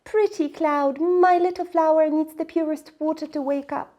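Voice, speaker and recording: A woman voicing an excitable, impatient little girl in a children's storybook, with urgent, pleading delivery. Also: sits high, moderate pace, pitched above the performer's own voice, natural voice. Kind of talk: children's storybook read-aloud.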